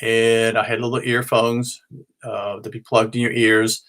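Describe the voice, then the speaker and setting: A middle-aged or older man from North Carolina with an American accent, giving an informal, unscripted explanation as a hobbyist host in a halting, rambling way, often correcting himself. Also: mid-range voice, little pitch movement, fairly bright tone. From North Carolina, United States. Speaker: ham and shortwave radio hobbyist presenting his show.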